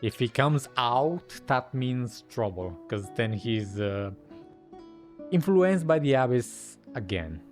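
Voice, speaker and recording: Casting: male game streamer, unhurried, mid-pitched, casual off-the-cuff reactions, light music behind. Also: animated.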